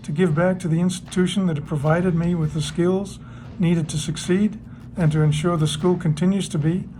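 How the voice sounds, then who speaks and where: A man's voice: a little high, fairly even intonation, normal speed, mellow, soft background music, low-quality recording. A man delivering a prepared testimonial as an alumnus and donor.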